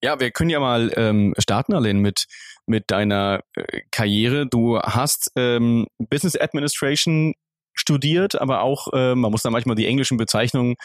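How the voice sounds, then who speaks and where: A man co-hosting an interview podcast, introducing a topic in a halting way as he searches for words. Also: medium pitch, no room sound, clean studio sound. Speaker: podcast co-host.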